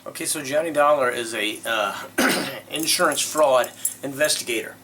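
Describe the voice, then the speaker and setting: A man hosting with casual commentary, talking in an informal, halting way. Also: mid-range voice, noisy background. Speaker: host.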